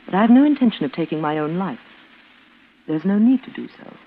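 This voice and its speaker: An actress playing a cold, bitter, reclusive twin sister with a clipped, severe manner in an old-time radio suspense drama. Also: female voice, fairly low pitch, lively intonation, fairly quick. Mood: aloof, resolute. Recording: noisy, tinny vintage radio broadcast.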